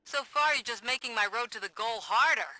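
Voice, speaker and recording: An actress playing a determined, wary investigative reporter in a video game, with a pointed, challenging way of talking. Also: female voice, fairly high pitch, fairly quick, bright, forceful tone, no echo, rough recording. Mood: indignant, defiant. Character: determined, defiant investigative reporter woman, wary and sharp-tongued.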